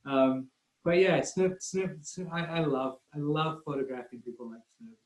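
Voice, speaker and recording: A man's voice: fairly high pitch, normal speed. A man from Scotland with a Scottish accent, a guest photographer telling rambling, self-deprecating stories in a casual online talk. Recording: roomy echo.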